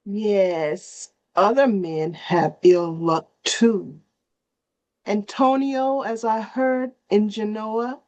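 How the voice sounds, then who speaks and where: A woman playing a sober male friend bringing news, reading Shakespeare in a measured, plain way. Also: medium pitch, darkish tone, no echo, lo-fi sound. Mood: sober reporting. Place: online video call reading.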